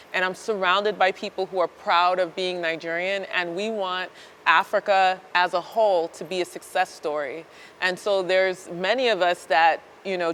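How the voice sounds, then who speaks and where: A woman's voice: fairly low pitch, a bit bright. A woman speaks earnestly and thoughtfully. Her words come with fillers and restarts. A faint hiss sits under the voice. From United States (Chicago area). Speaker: startup founder, guest. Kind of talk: interview answers.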